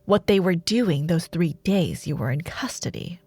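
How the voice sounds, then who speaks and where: A woman playing a taunting, imperious dark warlord sorceress in a roleplay audio drama, speaking in a theatrical, sardonic way with lingering pauses. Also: fairly low pitch, expressive, husky, no echo. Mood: probing, smug.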